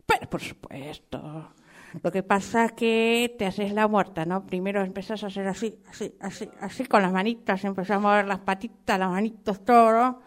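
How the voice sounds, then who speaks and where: A woman's voice: medium pitch, moderate pace, natural voice. A woman with an Argentine accent voicing a chatty, sentimental mother cockroach in improvised comic radio theatre, repeating herself coyly. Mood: chatty, fond. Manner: repetitive, coy, hesitant, conversational asides.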